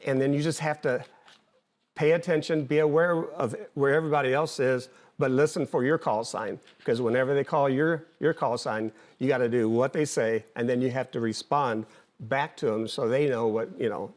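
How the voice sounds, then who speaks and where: Plain-spoken older man explaining haltingly. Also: medium pitch. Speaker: interview guest, former air traffic controller and pilot. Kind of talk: conversational explanation.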